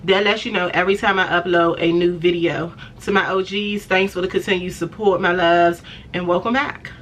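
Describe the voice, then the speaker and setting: A woman in her forties from the Washington area, talking to camera in a relaxed, chatty way with lots of fillers and laughs, her voice slightly raspy and stuffy after an illness. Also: lowish voice, normal speed, slight background noise. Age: 40s. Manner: chatty, affectionate, many fillers, laughs often. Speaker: fashion vlogger. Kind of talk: casual talk to camera.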